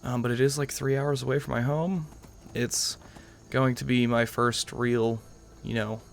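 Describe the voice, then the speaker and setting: A man's voice: mid-range voice, flat delivery, measured pace, gravelly, a little hiss or hum. A young American man giving casual, rambling let's-play commentary as the host of a gaming channel. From New York, United States. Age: young adult. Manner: rambling, self-qualifying.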